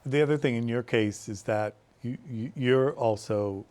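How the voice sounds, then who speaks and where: A man's voice: mid-range voice, normal speed, a little mellow. A man hosting a studio talk show, chatting with guests and asking leading questions. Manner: conversational, asks leading questions. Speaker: host. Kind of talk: interview chat.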